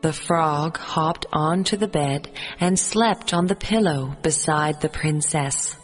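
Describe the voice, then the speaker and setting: A woman narrating a children's fairy tale as a storyteller, in a measured storybook reading. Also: sits low, breathy edge, long reverb tail, soft background music.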